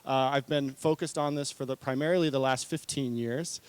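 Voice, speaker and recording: A man in his forties from New Zealand and Hawaii, speaking informally as a conference presenter in an earnest, conversational way, often halting and correcting himself. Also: medium pitch, steady tone, smooth. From New Zealand-born, raised in Hawaii. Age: 40s. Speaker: conference speaker, marketing consultant.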